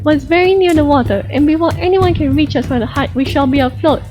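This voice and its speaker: A woman's voice: high-pitched, fast talker, voice pushed up, lightly acted. A woman voicing a bold, determined elder sister in a storybook, firm and impatient. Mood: fierce, urgent. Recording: dull lo-fi recording, music behind.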